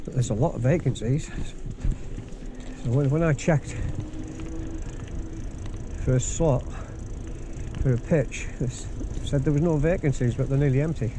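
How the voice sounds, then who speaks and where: Man, ordinary mid voice, easygoing vlog commentary while cycling; clear outdoor noise behind. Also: mid-range voice, unhurried, long pauses. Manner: relaxed, chatty, repeats words while thinking. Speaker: vlogger touring a campsite by bicycle. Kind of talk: casual spoken tour commentary. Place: outdoors, riding round a campsite.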